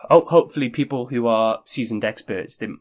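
A man co-hosting a casual podcast chat, friendly and a little halting, often restarting his sentences. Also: medium pitch, darkish tone. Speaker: podcast co-host.

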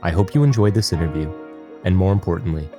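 A man's voice: deep voice, fast, over music. A man hosting a podcast, asking friendly, encouraging interview questions. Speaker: podcast host.

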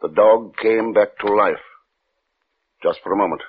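An actor playing a driven, secretly frightened scientist, intense and insistent, in old-time radio drama style. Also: male voice, fairly low pitch, a little slow, breathy edge. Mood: defensive. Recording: muffled, phone-thin old radio recording.

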